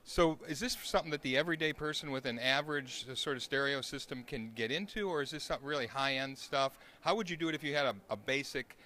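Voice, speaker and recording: A man's voice: medium pitch, quick pace, hardly any pauses, bright, forceful tone. A man hosting an on-location interview, putting questions to a guest. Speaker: interviewer, host.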